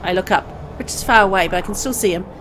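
A woman's voice: mid-range voice, quick pace, noisy background. A woman reading a first-person story aloud in a dramatic narrating style. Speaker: visual novel reader.

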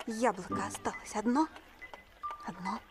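A woman voicing a lovelorn young peasant woman turned into a horse, plaintive and dramatic, in an animated film. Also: mid-range voice, measured pace, music in the background, natural voice. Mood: anxious pondering.

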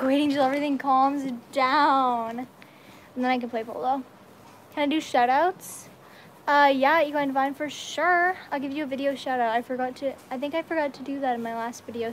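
Young American female livestreamer, high voice, bubbly casual chat, phone recording with barn noise behind. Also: moderate pace. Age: young adult. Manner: bubbly, excitable, slangy. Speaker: livestreaming vlogger. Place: metal-walled horse stall in a barn. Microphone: phone at arm's length. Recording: noisy barn ambience, handheld phone.

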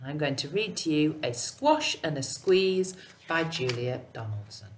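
Woman, thirties, bedtime story read-aloud, expressive, unhurried pace, animated intonation. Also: low-pitched, slight background noise. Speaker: teacher reading a bedtime story. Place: small room indoors. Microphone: webcam at arm's length.

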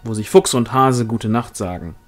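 A man's voice: medium pitch, moderate pace, close to an everyday voice. Man reading as easygoing rider guy, reassuring but determined, fantasy audiobook, music behind. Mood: soothing. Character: good-natured male rider, reassuring and determined.

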